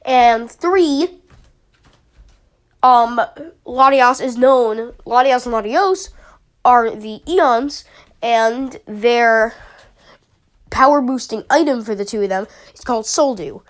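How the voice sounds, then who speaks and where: A woman's voice: on the high side, slow pace, long pauses. A young woman with a sniffly summer cold, chatting casually and rambling about her team picks, with many fillers. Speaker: YouTuber analyzing a draft league team. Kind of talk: casual commentary.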